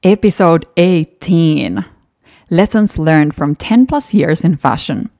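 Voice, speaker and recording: A woman's voice: low-pitched, animated, slow, dark tone, no echo, studio quality. A woman with a Finnish accent, a native Finnish speaker, hosting a solo podcast and talking through past episodes in an earnest, encouraging way. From Finland. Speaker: podcast host.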